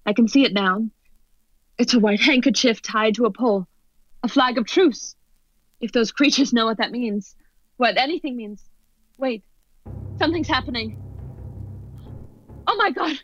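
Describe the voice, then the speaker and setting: A woman playing an excited, increasingly horrified radio news reporter giving dramatic, halting eyewitness commentary in a radio play. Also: a little high, no room sound. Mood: tense, alarmed. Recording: dull lo-fi audio under music.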